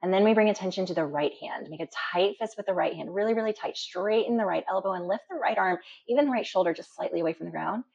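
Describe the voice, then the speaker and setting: A woman instructor guiding a deep relaxation meditation in a calm, instructional manner with slow pauses. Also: creaky, slight background noise. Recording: dull, muffled lo-fi sound.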